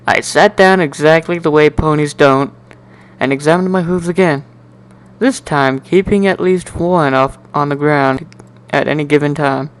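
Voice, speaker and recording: A man giving an amateur dramatic reading of a first-person story in an animated, wry, sardonic way. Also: a little high, clear, resonant tone. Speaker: amateur narrator.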